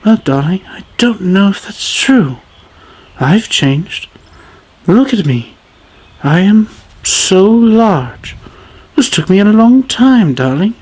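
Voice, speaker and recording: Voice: medium pitch, takes it slowly, pauses often, slightly rough, voice pushed up, full character voice. A narrator doing a pompous fat king. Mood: smug. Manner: calm, grand, drawling speechmaking. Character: pompous, self-assured fat king, man.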